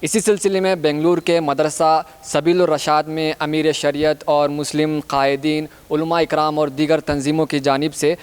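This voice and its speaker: A man's voice: a little high, flat delivery, normal speed, few pauses, smooth. A man with an Indian accent giving a news report as a reporter.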